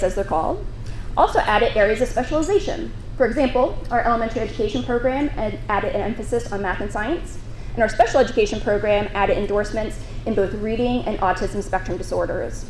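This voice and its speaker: A woman's voice: on the high side, darkish tone, a little hiss or hum. A woman on a college's staff giving a formal, proud prepared speech and introducing a student at a breakfast event. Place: large banquet room. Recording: echoey hall, faint ambience.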